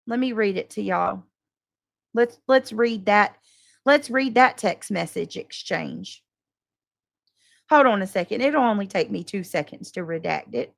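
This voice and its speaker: A woman's voice: a little high, gravelly, no room sound, studio quality. A woman livestreamer talking off the cuff to her followers in a casual, defensive, emphatic and exasperated way. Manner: coarse, swearing. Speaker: livestream host addressing subscribers and moderators. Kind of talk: casual unscripted livestream monologue.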